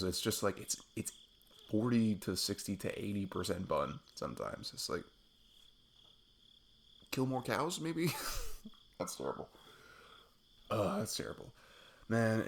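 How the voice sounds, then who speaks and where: Low husky guy acting as your sweet goofy best friend on a date, rambly asmr roleplay, pitch wanders all over. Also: slight background noise, full character voice. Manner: rambling, playful, self-deprecating chuckles. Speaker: audio roleplay performer. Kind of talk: intimate ASMR roleplay, improvised casual chat.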